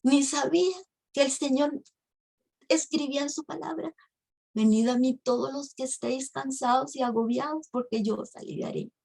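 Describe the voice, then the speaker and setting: A woman's voice: high-pitched, moderate pace, creaky, darkish tone, poor audio. A woman, telling her religious testimony emotionally to a women's ministry. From Costa Rica. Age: 50s. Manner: emotional storytelling, addresses the audience as sisters.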